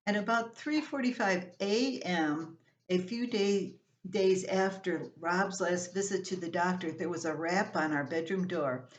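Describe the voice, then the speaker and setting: Older woman reading her column, lowish voice. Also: measured pace, muffled recording. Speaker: columnist reading own writing. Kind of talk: scripted humorous family column read aloud.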